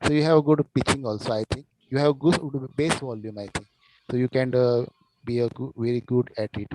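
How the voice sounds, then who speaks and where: Halting Indian-accented man, slightly noisy call. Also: medium pitch, steady tone. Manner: halting, searching for words, repeats himself. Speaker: speech evaluator at a public speaking club. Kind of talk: spoken feedback, unscripted. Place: online video call.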